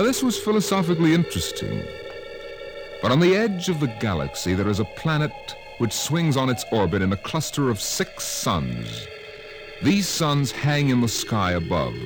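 A man announcing and narrating an old radio science fiction drama in a dramatic, declamatory style. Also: medium pitch. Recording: old radio broadcast with music.

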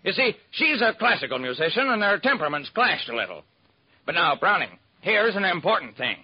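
High, husky, brash music publisher. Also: male voice, big pitch swings, brisk, heavily acted. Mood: insistent. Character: brisk, self-made male music publisher, colloquial and eager. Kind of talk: old-time radio drama acting. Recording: muffled vintage radio recording.

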